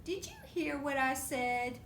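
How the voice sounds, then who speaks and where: Woman acting a stern, high-voiced mama monkey. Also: very animated, a little slow, full character voice. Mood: firm, stern. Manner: loud, emphatic, scolding. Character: stern, exasperated mother monkey laying down bedtime rules. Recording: roomy echo with faint low rumble.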